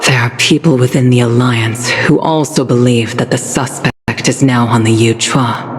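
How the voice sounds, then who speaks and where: An actress playing a middle-aged woman commander and pilot who speaks calmly and with care in a game cutscene. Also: extremely low pitch, normal speed. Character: seasoned woman commander and pilot, steady and protective. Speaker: game character. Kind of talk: dubbed game cutscene acting. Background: loud game music.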